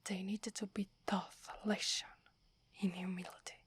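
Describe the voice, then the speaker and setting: A woman with an Italian accent playing a submissive, earnest female werewolf in a soft, breathy, hesitant ASMR whisper. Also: medium pitch, level tone, no echo. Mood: cautious, measured. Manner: hesitant, respectful, measured.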